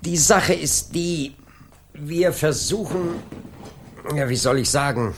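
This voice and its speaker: An actor playing a politely persistent police inspector in a radio play, questioning insistently with drawn-out, swooping phrases and a creaky voice. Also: male voice, a little high, a little hiss or hum. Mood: politely probing. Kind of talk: 1980s German radio play acting.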